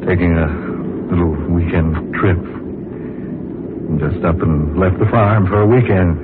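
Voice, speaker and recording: Casting: furtive farmer in old radio thriller, slow delivery, muffled sound with loud engine drone. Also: male voice, deep voice, noisy background. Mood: uneasy, evasive. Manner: halting, muttering, turning frantic. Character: nervous, furtive farmer, a fugitive fleeing by plane. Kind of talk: 1940s radio acting.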